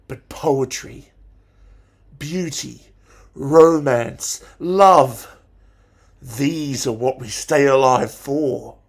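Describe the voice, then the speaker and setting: Man playing a slow, impassioned male teacher. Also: on the high side. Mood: earnest, hushed passion. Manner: declamatory, deliberate, with dramatic pauses. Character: passionate, theatrical male English teacher rousing his pupils. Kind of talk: dramatic monologue recitation.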